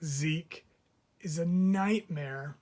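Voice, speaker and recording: A man voicing a kindly, soft-hearted old man in a storybook, speaking in a gentle, deliberate way. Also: on the high side. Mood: weary.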